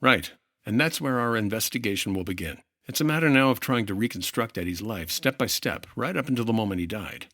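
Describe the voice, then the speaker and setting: An actor playing a wry, persistent 1950s insurance investigator in the dry, clipped style of a radio detective drama. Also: male voice, lowish voice, lively intonation, brisk, fairly bright tone. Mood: firm, probing.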